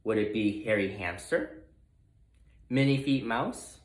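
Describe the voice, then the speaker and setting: Male teacher, storybook read-aloud: very animated pitch, expressive pauses, echoey room. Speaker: teacher reading aloud to students. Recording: roomy, echoey sound.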